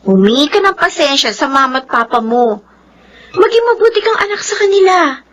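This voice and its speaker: A woman's voice: high-pitched, big pitch swings, normal speed, a bit bright. A young woman with a Filipino accent playing an earnest, sisterly, hardworking student in a radio drama, emotive and admonishing. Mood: earnest pleading. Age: young adult.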